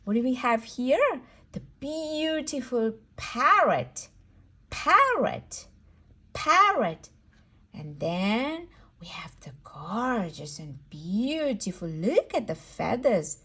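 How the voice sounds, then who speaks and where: A woman's voice: a little high, big pitch swings, slow pace, bright, forceful tone, husky. A woman leading a read-aloud word lesson for toddlers, sing-song and encouraging, repeating words for a small child. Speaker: children's educator and host.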